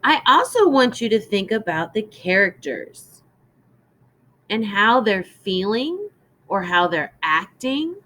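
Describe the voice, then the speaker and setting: A woman reads to young children as a teacher would in a lesson. Her pitch moves up and down in a lively way, and she takes her time with each phrase. The delivery is deliberate and instructive. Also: low-quality recording. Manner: instructive, deliberate, prompting listeners with questions. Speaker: teacher reading a story aloud. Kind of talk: read-aloud lesson for young children with explanations and questions.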